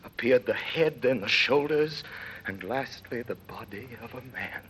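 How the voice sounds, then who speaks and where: A man's voice: medium pitch, animated, measured pace, a bit bright. An actor playing an anguished prisoner who recounts his years in a dungeon, grave and intense, in classic radio drama style. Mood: intense, solemn. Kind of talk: classic radio drama acting, dramatic first-person narration. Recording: noisy old radio recording.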